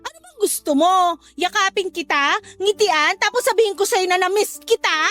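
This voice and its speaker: A woman's voice: very high voice, moderate pace, a bit bright, soft background music. An actress playing a hostile, sarcastic younger sister confronting her brother's ex in a radio drama.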